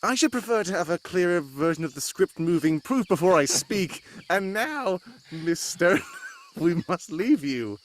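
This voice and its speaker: A man's voice: high-pitched, very animated, a little slow. A man playing a brusque, condescending detective in a live cold-read radio drama parody, theatrical and commanding. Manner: theatrical, commanding, breaking into ad-libs. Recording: live stage recording with background noise.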